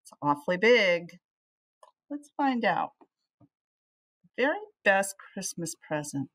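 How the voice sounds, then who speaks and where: A middle-aged woman reading a children's storybook aloud in an animated way, speaking to young listeners as a teacher would. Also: mid-range voice, slow. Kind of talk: expressive children's storybook read-aloud with asides.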